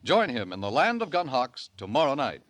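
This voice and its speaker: Animated vintage male radio announcer. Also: medium pitch, brisk, music in the background. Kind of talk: 1950 network radio announcing, promotional. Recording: vintage 1950 radio broadcast.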